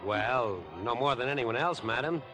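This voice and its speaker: An actor playing a smooth, eccentric young man obsessed with murder in a 1950s radio drama, with a glib, insinuating charm. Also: mid-range voice, moderate pace, sharp tone, lightly acted, rough recording. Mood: sly, insinuating. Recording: vintage 1950s radio broadcast with music.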